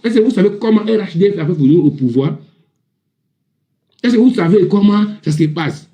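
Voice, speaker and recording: Ivorian male, heated panel debater, somewhat high-pitched yet very mellow-toned, insistent, repetitive, informal delivery. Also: expressive, fairly quick. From Ivory Coast. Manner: indignant, emphatic, repetitive. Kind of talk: heated informal political rant on a live audio panel.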